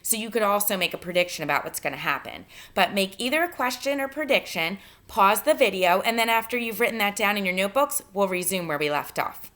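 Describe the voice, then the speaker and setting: Bright-voiced woman teacher reading aloud. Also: medium pitch. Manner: instructive, expressive reader. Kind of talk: read-aloud lesson, narration with spoken instructions.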